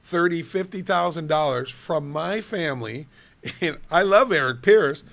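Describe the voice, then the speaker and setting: A middle-aged man talks in a casual co-host chat, his voice raspy and gravelly with plenty of fry. He sits a little high in pitch and tells his stories with animated, chatty energy. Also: unhurried. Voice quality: raspy today. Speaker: co-host, city official.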